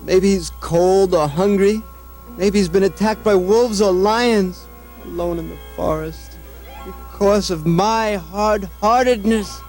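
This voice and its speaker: A man playing a high-voiced, anguished king. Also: takes it slowly, a little mellow, soft background music. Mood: anguished remorse. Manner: anguished, dramatic. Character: grieving, remorseful king and anxious father. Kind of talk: melodramatic puppet show acting.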